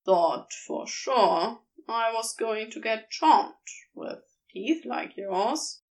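Woman doing a dry, deadpan weary man. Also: fairly high pitch, drawn-out words, voice pushed down, exaggerated voice, poor audio. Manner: dry, rueful, grumbling.

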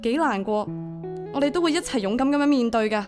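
A woman's voice: sits high, animated, fast talker, a little mellow, soft background music. An actress playing a devoted, vulnerable young single mother in a Hong Kong Cantonese audio drama, speaking in a heartfelt way. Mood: moved, resolute. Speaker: voice actor. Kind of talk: audio drama acting with first-person narration.

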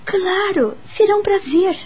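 An actress playing a kind, curious young female teacher in a Brazilian radio drama, expressive and musing, with a Brazilian accent. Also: fairly high pitch, normal speed, slightly rough. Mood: polite, friendly. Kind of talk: radio drama acting and first-person narration. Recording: music bed behind the voice. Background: music.

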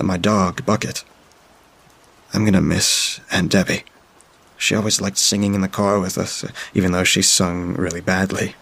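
A frightened young child pours out diary entries in a low, gravelly, husky voice, stumbling over fillers and restarting sentences, as a man reads the part. The delivery stays flat and subdued, barely rising or falling. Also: exaggerated voice. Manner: halting, with frequent filler sounds. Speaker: storyteller. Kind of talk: first-person diary reading.

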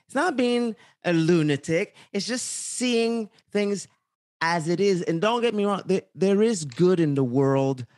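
A man from Haiti, a guest in a casual interview, speaking in an earnest, measured, slightly hesitant way. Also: sits high, lively intonation, darkish tone, dry recording, studio quality.

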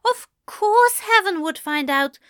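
A woman's voice: very high voice, animated, slow pace, a bit bright, dry recording, studio quality, pitched above the performer's own voice, exaggerated voice. A woman voicing a prim, snippy male angel who is easily flustered and scandalised, in a fan fiction story reading. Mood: flustered, aghast. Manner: prissy, snippy, evasive.

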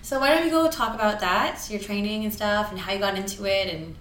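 A young woman hosting a casual podcast, bubbly and swearing freely, her voice hoarse from having lost it. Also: mid-range voice, fairly quick, fairly bright tone, reverberant, slight background noise. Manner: bubbly, swears, laughs often. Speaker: podcast host.

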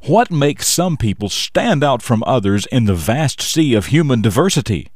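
A man presenting a scripted morning show for young listeners, with emphatic, deliberate phrasing and folksy asides. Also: mid-range voice, sing-song, a little mellow, no room sound, clean studio sound. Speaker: radio show and podcast host.

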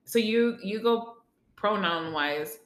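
A woman's voice: mid-range voice, slow pace. Easygoing woman host in her thirties. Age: 30s. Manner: easygoing, blunt, swears casually. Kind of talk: casual chat. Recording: roomy echo.

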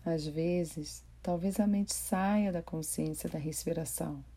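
A woman with a Brazilian accent guiding a meditation in a gentle, unhurried manner with pauses. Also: low voice. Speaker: meditation guide. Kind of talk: guided body scan meditation.

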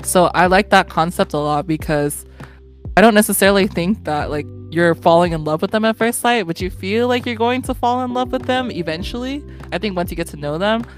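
A woman's voice: medium pitch, fairly quick, faint music behind. Earnest young woman hosting a podcast. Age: 20s. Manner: warm, earnest, often laughing, many fillers. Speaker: podcast host. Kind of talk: casual podcast chat.

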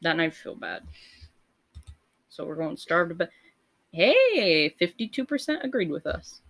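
A young woman with a low voice co-hosts a loose, casual podcast. She reads out poll questions and chatters between them, laughing often. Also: very animated, measured pace, a bit bright. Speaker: podcast co-host.

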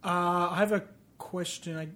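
Casting note: male co-host with a higher voice, playful, riffs along with gags. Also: fairly even intonation, moderate pace, bright, forceful tone. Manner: playful, riffing on jokes. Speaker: podcast co-host. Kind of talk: casual gaming chat.

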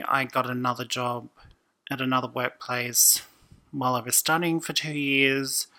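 A woman, a podcast guest talking casually and thoughtfully, often pausing and restarting. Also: a bass voice, bright, forceful tone. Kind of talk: casual conversation.

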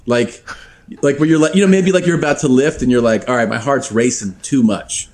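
A man telling casual stories as a podcast guest, animated and profane, laughing often. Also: mid-range voice, fairly quick. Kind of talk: casual storytelling.

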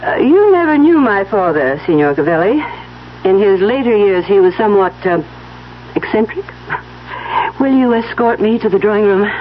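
A woman plays an imperious, genteel older matron with highly animated pitch. She is coolly gracious and pointed in radio-play style, heard through a dull recording with faint noise. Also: normal speed, close to an everyday voice. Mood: gracious, commanding. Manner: gracious but cool and pointed. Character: genteel, imperious, probing older society mother. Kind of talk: 1970s radio drama acting.

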